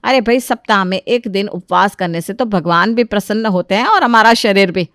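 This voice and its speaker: A woman's voice: medium pitch, normal speed, fairly bright tone, dry recording, clean studio sound, voice pushed down, close to an everyday voice. A woman voicing a stern, devout and domineering older Indian mother-in-law in a moral story, with a commanding, scolding manner. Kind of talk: acted dialogue in a moral story.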